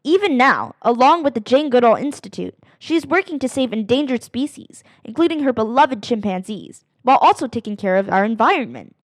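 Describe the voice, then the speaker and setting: Very high, lively woman reading to children. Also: no room sound. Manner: animated, dramatic emphasis with drawn-out phrases. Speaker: read-aloud narrator of children's books. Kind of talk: expressive children's picture book read-aloud.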